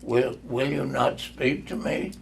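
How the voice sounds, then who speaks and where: A man reads as a frightened old miser from a storybook, humbled and pleading. The voice is gravelly and slow, stumbling over words as it begs. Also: medium pitch, flat delivery, slight background noise, voice pushed up. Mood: fearful, earnest. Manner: halting, stammering.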